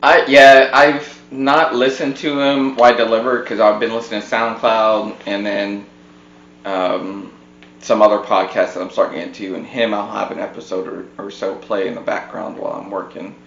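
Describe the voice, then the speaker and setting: A man's voice: fairly low pitch, level tone, moderate pace, vocal fry, a bit bright. A man co-hosting a casual home podcast, talking in an informal conversational way. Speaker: podcast co-host. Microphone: podcast microphone on a tripod. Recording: muffled roomy podcast mic, background hum.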